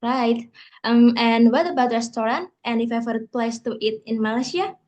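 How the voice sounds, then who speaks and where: A young woman co-hosts a casual podcast. She speaks with a fairly high voice and puts her questions to the guest in a bright manner that sounds read from a script. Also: moderate pace, highs cut off. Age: young adult. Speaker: co-host.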